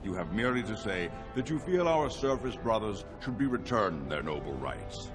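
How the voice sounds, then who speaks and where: Scheming dwarf politician, low voice, lively intonation, courtly, persuasive, fantasy game, music underneath. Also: male voice, normal speed. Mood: earnest pleading. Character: smooth-talking, scheming male dwarf noble politician. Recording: music behind the voice.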